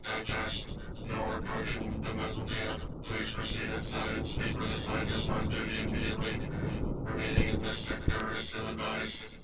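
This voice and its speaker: Casting: male actor for emotionless robot officer, dead-flat and lowish, formal audio drama dispatches. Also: normal speed, pauses often, far from the mic, background noise, close to an everyday voice. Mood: impassive. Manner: monotone, formal, clipped.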